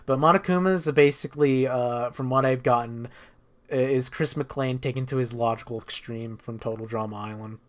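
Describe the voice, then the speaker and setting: A man with a medium-pitched voice talks casually without a script. He rambles through a game recap as a video creator would, using loose slang and frequent profanity. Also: normal speed.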